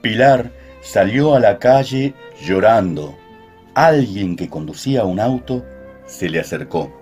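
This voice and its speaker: A man's voice: lowish voice, animated, a little slow. A man narrating a radio soap opera from a script. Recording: loud music under narration.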